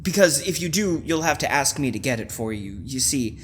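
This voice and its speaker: An actor playing a deranged, obsessive hotel keeper in a radio thriller, halting and softly insinuating with mock concern. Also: male voice, medium pitch, expressive, slight background noise, close to an everyday voice. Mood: falsely solicitous. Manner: halting, softly insinuating, wide emotional swings. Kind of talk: old-time radio thriller acting.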